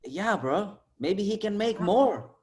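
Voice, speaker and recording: A man's voice: high-pitched, expressive, slow, darkish tone. A man playing a cheeky, laid-back sidekick in a comic table read, slangy and full of wisecracks. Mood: curious, prodding. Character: cheeky, laid-back male sidekick teasing his buddy.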